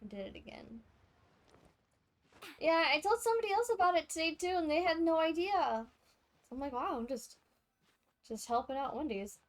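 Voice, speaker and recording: A woman's voice: high-pitched, animated, normal speed, long pauses. An American woman chatting casually with livestream viewers in slangy everyday talk while she plays a game. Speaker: game streamer.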